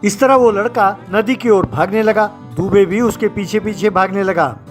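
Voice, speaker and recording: A man's voice: high-pitched, normal speed, soft background music. Man narrating a cartoon story. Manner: steady, plain storytelling.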